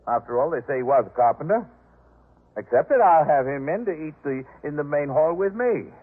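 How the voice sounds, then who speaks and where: An actor playing a proud, disdainful master of a biblical household in a 1950s radio drama, with haughty, emphatic delivery. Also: male voice, fairly high pitch, lively intonation, a little fast. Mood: haughty, commanding. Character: proud, indignant, disdainful male head of household in biblical times. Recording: muffled narrowband 1950s radio recording.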